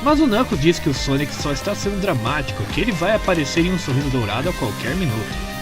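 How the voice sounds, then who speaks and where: A Brazilian man narrates a scripted story recap with an expressive delivery. His voice sits fairly high and swings widely in pitch. Guitar music plays clearly behind him. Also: fast talker.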